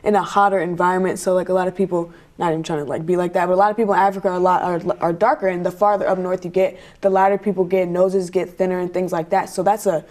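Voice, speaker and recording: A woman's voice: lowish voice, little pitch movement, fast, few pauses, a little mellow. A young woman student on a discussion panel, explaining a point in a casual way that is peppered with "like.".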